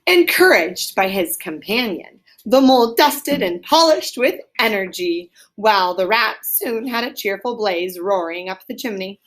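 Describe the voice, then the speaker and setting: Woman reading a storybook aloud, medium pitch. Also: expressive, unhurried, hardly any pauses, fairly bright tone. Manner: explanatory, prompting listeners with questions. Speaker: teacher reading aloud to children. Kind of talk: storybook read-aloud with simple explanations.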